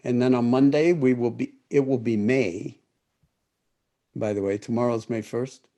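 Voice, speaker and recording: A man's voice: mid-range voice, fairly even intonation, measured pace, dark tone. An older man from the United States leading an online meditation group on a video call, speaking thoughtfully and correcting himself as he goes. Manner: thoughtful, rambling with self-corrections. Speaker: meditation teacher. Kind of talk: live-streamed talk to an online group.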